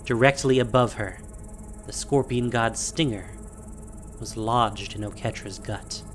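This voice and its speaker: A male narrator tells a fantasy story in a measured, dramatic way. His voice sits at a medium pitch, with faint music underneath.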